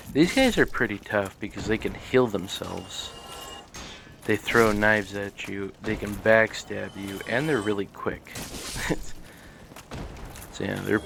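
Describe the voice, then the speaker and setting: A man hosting a casual let's play, talking in a monotone and rambling with many fillers. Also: on the low side, vocal fry, a little hiss or hum. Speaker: gaming channel host.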